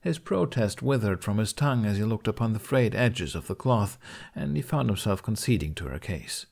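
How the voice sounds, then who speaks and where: A man's voice: lowish voice, lively intonation, moderate pace, vocal fry, darkish tone. A man reading scripted fiction as an audiobook narrator.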